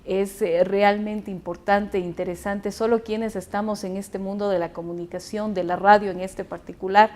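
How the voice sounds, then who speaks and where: A woman's voice: mid-range voice, steady tone, normal speed, few pauses, a little mellow. A woman, a radio broadcaster invited as a guest, speaking with enthusiasm and plenty of fillers and restarts. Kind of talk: panel discussion answers.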